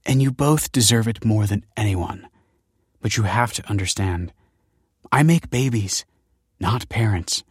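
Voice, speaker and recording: A man's voice: fairly low pitch, a little slow, long pauses, slightly rough, no room sound, studio quality. A man voicing a firm, tense married woman in an audiobook, insistent and emphatic. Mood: nervous, firm. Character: firm, tense wife, a fertility director.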